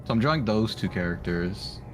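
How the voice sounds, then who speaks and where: An animated, casually chatting man streams while he draws. His talk rambles and is giggly, with pitch that moves around a lot. Music plays clearly behind him. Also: a little fast. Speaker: streamer drawing art live. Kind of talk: casual livestream chat.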